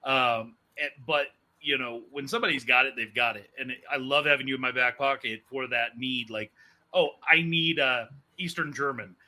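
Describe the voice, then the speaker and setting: A man hosts a relaxed interview in a mid-pitched, very bright and forceful voice. He circles into his questions, repeating words as he goes. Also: moderate pace. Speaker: podcast host, character actor. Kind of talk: casual hosted interview.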